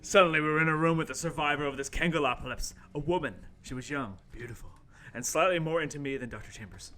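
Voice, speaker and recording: A man's voice: a little high, fast, noisy background, voice pushed up, lightly acted. A man playing an earnest young intern, narrating an amateur radio play with overwrought seriousness. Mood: dramatic narration. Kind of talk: amateur radio-play table read, first-person narration.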